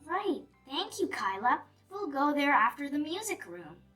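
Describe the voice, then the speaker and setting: Lady doing a cheery muddled lady teacher from a kids book, high and super lively, music behind. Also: unhurried, full character voice. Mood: muddled, grateful. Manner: bright, eager, exclamatory, addressing small children. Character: cheerful, scatterbrained female kindergarten teacher. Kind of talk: children's storybook character voice. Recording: distant mic with music behind.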